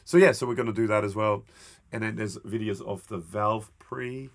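A man's voice: lowish voice, steady tone, normal speed, gravelly. A man co-hosting a gear podcast in casual chat, sounding stuffed up from a cold and rambling with many restarts. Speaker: podcast co-host.

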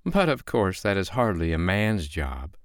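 A man voicing a calm, sly foster mother in a storybook, with a lilting, mock-innocent, needling way of talking. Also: deep voice, unhurried, clear, resonant tone, no room sound, clean studio sound. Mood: coolly belittling.

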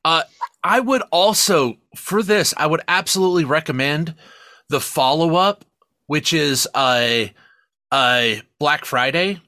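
A man's voice: fairly high pitch, lively intonation, a little slow, pushed and bright, no echo. A man co-hosting a podcast, chatting casually and enthusiastically about media, with plenty of swearing. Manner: profane, enthusiastic. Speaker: podcast co-host.

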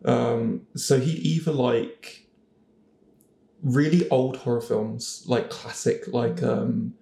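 A man with a creaky, mid-pitched voice talks casually on a panel. He thinks aloud as he goes, and the recording is noticeably roomy and echoey. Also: fairly even intonation, takes it slowly, dark tone. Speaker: podcast panellist, voice actor. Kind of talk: casual chat.

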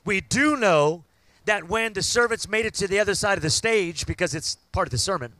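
A man, preaching a sermon and building to loud, fervent call-outs to the congregation. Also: sits high, expressive, brisk, no room sound. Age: 50s. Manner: preaching with rising shouts and call-outs to the crowd. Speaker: pastor.

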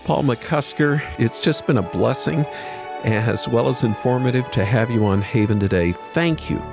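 A man hosting a podcast in a measured, appreciative conversational way. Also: mid-range voice, expressive, vocal fry, over music. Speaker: radio and podcast host.

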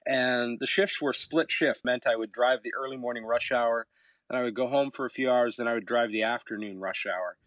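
A middle-aged Canadian musician with a fairly low voice, answering interview questions in a reflective, storytelling way, with some hesitant pauses. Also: male voice, fairly bright tone, no room sound. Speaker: musician guest.

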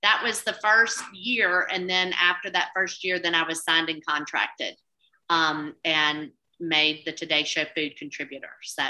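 A woman's voice: sits low, fairly even intonation, a little slow, bright, forceful tone, poor audio. A woman with a Southern US accent, a cookbook author chatting in an interview, rambling with many restarts. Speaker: cookbook author and TV food contributor. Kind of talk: casual interview chat.

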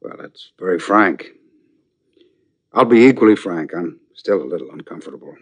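Husky, low-voiced uneasy businessman father. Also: animated, moderate pace, full character voice. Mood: uneasy, formal. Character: uneasy, formal businessman father, frank and measured. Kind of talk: 1980s primetime TV drama acting. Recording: muffled old TV drama soundtrack.

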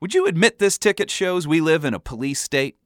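A man's voice: lively intonation, a little fast, no room sound, clean studio sound, natural voice. A man voicing a precocious, stubborn boy who argues insistently in a comic story. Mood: indignant. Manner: insistent, argumentative, high-strung. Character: precocious, stubborn boy arguing indignantly with his father.

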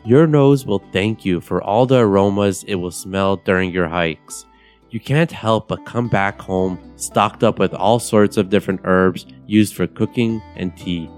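A young man from Bulgaria hosting a podcast, guiding a meditation in a calm, measured, soothing way. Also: lowish voice. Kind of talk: guided meditation narration. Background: soft guitar music.

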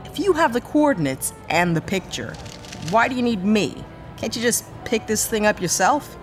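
Woman as wry, animated space pilot for hire. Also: on the high side, moderate pace, faint music behind, lightly acted. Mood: sceptical. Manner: sarcastic, sceptical, hesitant asides. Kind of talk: sci-fi audio drama acting.

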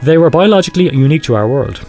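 Ominous male researcher read by an actor, clinical and measured, slightly high register, horror audio story with soft music. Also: lively intonation, natural voice. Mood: ominous confession. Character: grave male researcher confessing secret horrific experiments.

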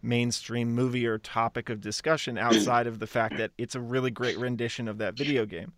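A man with a middle-pitched voice speaks as a podcast co-host. He is conversational and works out his ideas aloud. The recording is very dry, with no room sound. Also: little pitch movement, fairly quick, a bit bright, no room sound. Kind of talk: casual podcast discussion.

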